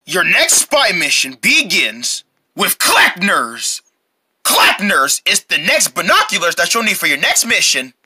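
A performer voicing an exuberant commercial announcer, pitching toys and meals to children in a salesy way. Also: sing-song, a little slow, bright, forceful tone, a little hiss or hum, heavily acted. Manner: salesy, listing product features. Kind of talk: promotional ad read in play-acting.

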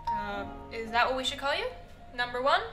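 A brisk female pirate leader acted by a woman in a radio drama, bright and forceful with a slightly high voice. She sounds exasperated, with faint music behind. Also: animated, echoey room. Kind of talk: science fiction radio drama acting.

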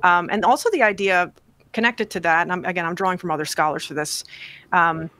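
Bright-voiced woman author, measured. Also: on the low side. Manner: thoughtful, measured, with many fillers. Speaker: author guest. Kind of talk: interview on radio show. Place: home study with bookshelves. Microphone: desk podcast mic on boom, video call.

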